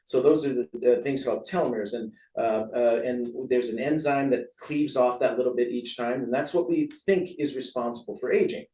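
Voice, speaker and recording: A man's voice: mid-range voice, moderate pace, hardly any pauses, warm tone. A man with an American accent, a physician giving chatty, rambling, self-deprecating explanations as an interview guest. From San Francisco, USA. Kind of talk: casual explanatory interview talk. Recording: roomy echo, distant from mic.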